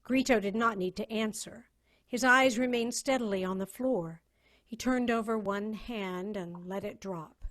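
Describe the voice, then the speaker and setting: A woman's voice: mid-range voice, a bit bright. Woman narrating a dramatic science fiction tale. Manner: measured, literary storytelling. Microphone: video call.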